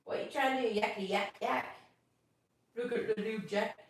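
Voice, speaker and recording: An Australian woman hosting a live stream, moving between casual chat and expressive storybook reading. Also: a little high, moderate pace. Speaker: live stream host reading bedtime stories. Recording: echoey room, distant from mic.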